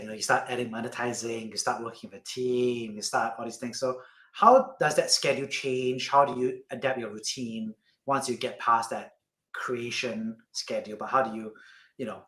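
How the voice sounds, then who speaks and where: A man's voice: medium pitch, a bit bright. A man hosting a podcast interview, putting rambling, multi-part questions conversationally in an echoing room. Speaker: podcast host. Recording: roomy, echoing sound.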